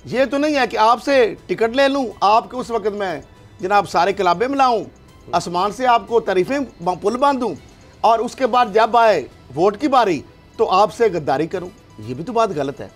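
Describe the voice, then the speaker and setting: A man's voice: high-pitched, animated, normal speed, faint music behind. A man with a Pakistani accent in a political discussion, speaking emphatically and argumentatively with rhetorical questions. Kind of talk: heated political discussion, interjecting.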